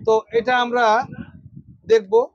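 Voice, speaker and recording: A Bangladeshi man gives a product demonstration in a notably high voice. He addresses viewers directly and repeats phrases to press his points. The sound is dry and somewhat dull. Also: flat delivery, takes it slowly, no room sound. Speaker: electronics shop presenter. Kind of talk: product demonstration sales pitch.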